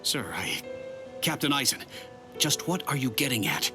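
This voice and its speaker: An actor playing a brooding, earnest young warrior lieutenant with a blunt manner in an anime game. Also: male voice, mid-range voice, lively intonation, normal speed, over music, lightly acted. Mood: uneasy, respectful. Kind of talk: English-dubbed anime game dialogue.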